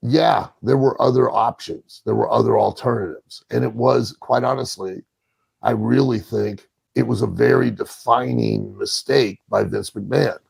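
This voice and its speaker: A man with a gravelly, husky voice giving opinionated analysis in a podcast conversation, deliberate and emphatic, repeating key phrases. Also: medium pitch, steady tone, no room sound. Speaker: podcast panelist from the wrestling business.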